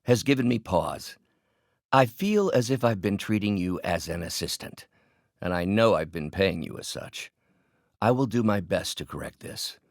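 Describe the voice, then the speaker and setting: An older scientist mentor from a video game, proud and earnest with a bitter edge. His voice is low and swings expressively through each deliberate, articulate phrase. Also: big pitch swings, dry recording, clean studio sound. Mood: earnest, reflective. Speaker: voice actor. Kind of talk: dramatic video game voice acting.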